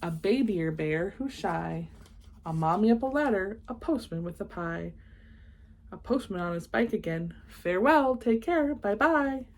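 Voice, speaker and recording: A woman reading a children's picture book aloud, expressive in the story and chatting casually and enthusiastically in asides. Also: mid-range voice. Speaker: read-aloud host.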